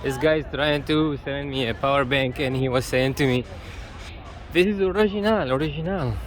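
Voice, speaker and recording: A man from Tunisia talks casually and reflectively in a halting, unscripted way as he vlogs on a walk. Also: medium pitch. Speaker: travel vlogger. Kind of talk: casual walking vlog with personal reflections. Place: outdoors on a busy tourist street. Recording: loud outdoor street and traffic noise. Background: street ambience, passing vehicles.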